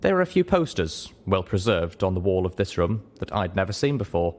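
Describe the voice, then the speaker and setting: A man's voice: low-pitched, sing-song, brisk, darkish tone, slight background noise. A man reading a first-person story aloud as a dramatic audiobook narration. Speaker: audiobook reader.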